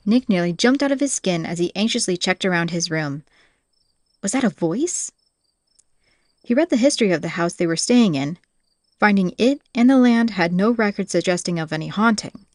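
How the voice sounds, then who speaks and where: A woman's voice: mid-range voice, expressive, normal speed, dry recording, clean studio sound. A woman reading scripted fantasy audiobook narration as the storyteller.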